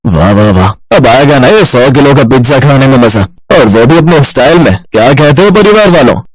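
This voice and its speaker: A man's voice: medium pitch, expressive, moderate pace, dry recording, natural voice, poor audio. A man voicing the hearty grown son of a big family in a cartoon, exclamatory in manner. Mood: gleeful.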